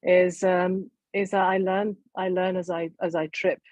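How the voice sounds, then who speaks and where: A woman's voice: on the low side, flat delivery, unhurried, a little mellow, dry recording, dull audio. A Kenyan-born woman with an English accent, talking candidly and thoughtfully in a panel conversation over a video call, often laughing. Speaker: panel speaker. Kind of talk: reflective conversation.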